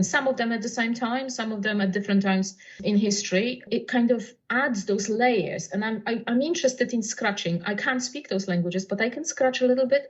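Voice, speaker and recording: Woman, muffled lo-fi sound. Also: medium pitch. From Poland. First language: Polish. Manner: thoughtful, searching for words as she goes. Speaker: writer and translator. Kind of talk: reflective conversation about language. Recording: dull lo-fi audio.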